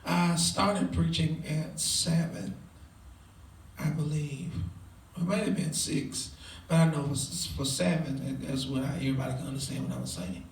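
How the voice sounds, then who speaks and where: Man, 20s, preacher, hesitant, fillers, gravelly, far from mic, echoey room. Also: fairly high pitch, fairly even intonation, normal speed. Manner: hesitant, many fillers, soft laughs. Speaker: interviewee, preacher. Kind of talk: casual Q&A interview.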